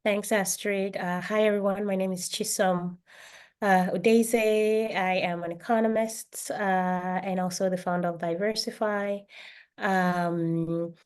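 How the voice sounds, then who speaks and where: A woman economist speaking on a video call, unhurried in her delivery, while she is losing her voice. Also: lowish voice, steady tone, smooth. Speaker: economist and founder.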